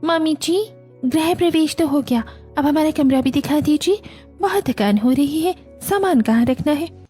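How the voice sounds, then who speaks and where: Woman doing a high-pitched, indignant young bride. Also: moderate pace, dark tone, faint music behind, lightly acted. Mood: impatient. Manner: complaining, entitled. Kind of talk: Hindi cartoon family story acting.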